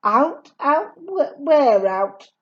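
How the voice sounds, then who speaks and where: A woman's voice: high-pitched, big pitch swings, drawn-out words, pitched above the performer's own voice, full character voice. A woman playing a weary grown man who turns bossy and schoolmasterish. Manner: weary, then bossy and clipped. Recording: dull lo-fi recording.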